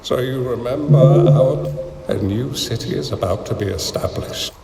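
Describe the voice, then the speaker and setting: An actor playing a courteous, venerable old master in a video game, speaking in a stately, gracious manner through an echoing effect. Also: male voice, mid-range voice, normal speed, gravelly, dark tone, a little hiss or hum. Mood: reflective, courteous. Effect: echoing reverb. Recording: echoing long reverb, faint music.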